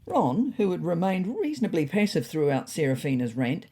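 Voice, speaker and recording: Husky, expressive woman storyteller. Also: on the low side, moderate pace. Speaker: storyteller and podcast narrator. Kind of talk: scripted story narration, first person.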